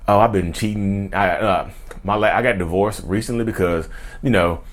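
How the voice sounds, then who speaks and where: A man's voice: lowish voice, moderate pace, pitched below the performer's own voice, natural voice. A man voicing a brazen woman on a first date who admits to serial cheating, in a casual drawl full of fillers.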